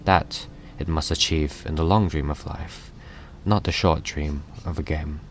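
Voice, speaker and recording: Deep, solemn godlike being acted by a man. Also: fairly even intonation, a little slow, slight background noise. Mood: solemn, knowing. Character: unseen godlike male being narrating a poem, solemn and knowing. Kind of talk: scripted poetic narration. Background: music.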